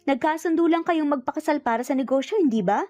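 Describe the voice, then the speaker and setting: Woman acting a firm, bold young heroine, highish voice. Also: quick pace, darkish tone, faint music behind, lightly acted. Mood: annoyed, bold. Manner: bold, emphatic, annoyed. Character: kind but firm young woman standing her ground. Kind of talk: romance audio drama.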